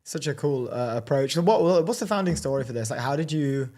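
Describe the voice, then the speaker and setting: A man with a middling pitch co-hosts an interview. He speaks informally, putting questions to his guest in casual conversational language. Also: expressive, fairly quick, dark tone. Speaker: interview co-host.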